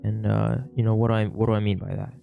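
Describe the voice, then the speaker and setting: A sick young man with a worn, gravelly voice, rambling casually through a vlog with lots of fillers and restarts. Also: lowish voice, little pitch movement, quick pace, phone-quality sound, faint music behind. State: sick, losing his voice. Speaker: vlogger; shift manager at work. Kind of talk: casual talking-to-camera vlog.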